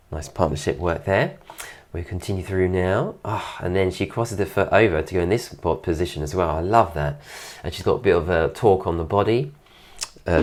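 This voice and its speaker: A man with a very low, deep voice and a stuffy, congested nose, still getting over an illness. He talks in a relaxed voice-over commentary and keeps praising details in an admiring way, close to the mic. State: recovering from illness, voice deeper than usual. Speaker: dance teacher reviewing a dance video.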